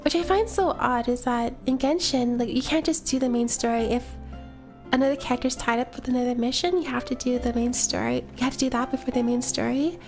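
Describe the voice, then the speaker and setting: Woman streamer, stuffy from a cold, high voice, casual chatter to viewers. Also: brisk, a little mellow, faint music behind. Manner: chatty, talks to viewers between game lines. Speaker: streamer playing a game. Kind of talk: casual live-stream chat.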